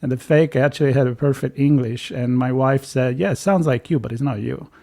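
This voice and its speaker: A man's voice: medium pitch, a little fast, vocal fry, warm tone. A man with an Italian accent co-hosting a casual interview, musing and joking with hesitant restarts. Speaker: podcast co-host.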